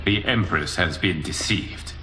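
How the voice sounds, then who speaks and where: An actor playing a noble, grave guardian god in a video game, speaking in a formal, measured, earnest manner. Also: male voice, low voice, bright, forceful tone, a little hiss or hum, poor audio. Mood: grave, urgent. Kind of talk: dramatic video game cutscene acting.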